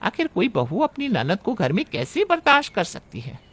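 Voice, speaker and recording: Man playing animated scheming sharp-tongued aunt. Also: sits high, voice pushed up, exaggerated voice. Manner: theatrical, drawn-out, needling. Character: scheming, sharp-tongued middle-aged paternal aunt, a troublemaker. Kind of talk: Hindi cartoon family story acting.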